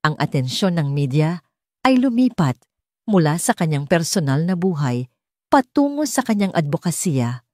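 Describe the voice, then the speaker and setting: A woman's voice: low voice, very animated, moderate pace, a little mellow, dry recording, clean studio sound. A woman with a Filipino accent reading a scripted romance story as an audiobook narrator.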